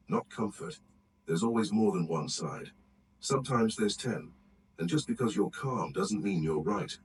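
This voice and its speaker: Male narrator, low and measured, set back from the mic. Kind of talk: read-aloud narration of aphorisms. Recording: distant mic with faint background noise.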